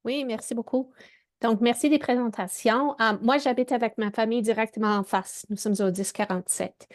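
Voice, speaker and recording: A woman's voice: on the high side, fairly even intonation, moderate pace. A woman from Saskatchewan with an English Canadian accent, speaking French as a resident politely raising concerns at a public meeting over a video call. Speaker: resident asking a question.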